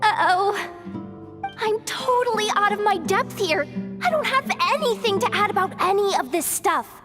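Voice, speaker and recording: A woman's voice: animated, moderate pace. A woman voicing a brash, chatty young girl sidekick in a video game, girlish and casual, blurting and easily flustered. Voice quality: very high, girlish. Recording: music playing behind the voice.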